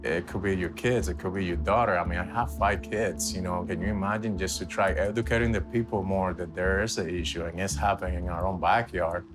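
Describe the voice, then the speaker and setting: Deep-voiced man, casual, emphatic interview talk over guitar music. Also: steady tone, fairly quick, few pauses. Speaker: interviewee, father.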